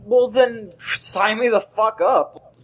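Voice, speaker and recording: A man's voice: high-pitched, slow, a little hiss or hum. A young man co-hosting a casual gaming podcast, rambling and often restarting his sentences. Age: young adult. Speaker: podcast co-host.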